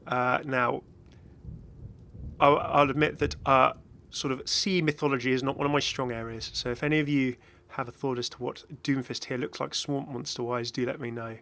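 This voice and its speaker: A man's voice: mid-range voice, fairly even intonation, moderate pace, sharp tone. A man giving casual, off-the-cuff game commentary as a video host, chatty and rambling with enthusiastic asides.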